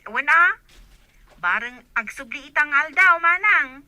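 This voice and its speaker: A woman playing an excitable, gossipy village shop woman in an Ilocano radio comedy drama, with an Ilocano accent. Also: high voice, unhurried, full character voice. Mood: excited, teasing.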